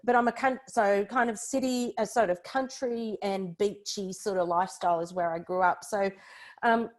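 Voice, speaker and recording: Australian female, 40s, conversational podcast guest, chatty and informal, mid-range pitch. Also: steady tone, moderate pace. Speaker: podcast guest, personal branding expert. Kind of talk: conversational interview, recounting own career.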